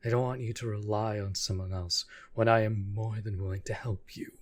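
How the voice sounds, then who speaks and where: A man playing a possessive, proud and devoted demon lover in an intimate audio roleplay, speaking formally and intensely with a breathy, husky voice. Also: lowish voice, fairly even intonation, no echo, natural voice. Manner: slow, formal, deliberate, intense.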